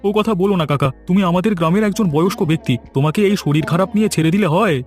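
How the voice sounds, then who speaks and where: Casting: male actor doing anxious, respectful cartoon villager, high voice, rattling speed. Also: racing, darkish tone, soft background music, lightly acted. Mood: concerned. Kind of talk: cartoon voice acting.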